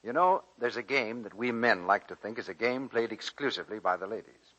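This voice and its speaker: Low, forceful 1940s radio announcer man. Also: animated, fairly quick. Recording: dull, muffled vintage radio recording.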